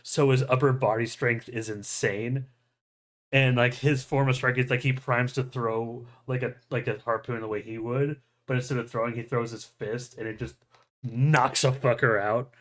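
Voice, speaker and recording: A man's voice: mid-range voice, steady tone, moderate pace. A man hosting a podcast, chatting casually and enthusiastically in a rambling way with frequent chuckles. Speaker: podcast host. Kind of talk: casual chat about anime.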